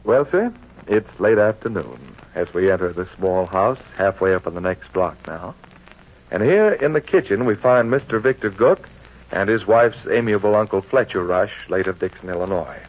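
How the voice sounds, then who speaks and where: A man narrates an old-time radio comedy in a folksy, scripted way. His voice is low and the recording is dull. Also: expressive, normal speed. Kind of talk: vintage scripted scene-setting narration.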